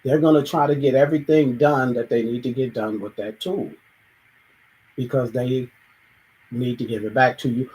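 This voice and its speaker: A man's voice: mid-range voice, steady tone, normal speed, a little mellow, poor audio. Reflective man musing in a monologue. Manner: thoughtful, acts out everyday dialogues, sometimes restarts. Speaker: show host.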